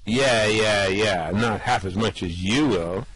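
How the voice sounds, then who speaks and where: A man's voice: lowish voice, slow, a bit bright, husky, exaggerated voice, low-quality recording. A man playing a brash, laid-back male space adventurer who talks in a slangy, cocky drawl in an amateur radio-play reading.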